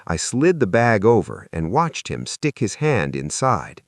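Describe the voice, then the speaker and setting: Animated male storyteller with very lively pitch. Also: unhurried, no echo, studio quality. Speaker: story narrator. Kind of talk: scripted first-person children's audiobook narration.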